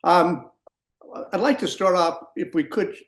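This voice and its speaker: A man hosting a podcast interview, asking questions in a halting way with many fillers. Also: high-pitched, echoey room. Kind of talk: conversational interview.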